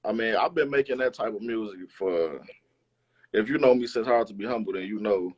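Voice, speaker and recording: Low-voiced slangy man chatting casually. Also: fairly quick, slightly rough. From Chicago, United States. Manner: slangy, profane, informal. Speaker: rapper, interview guest. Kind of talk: casual chat. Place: remote livestream interview.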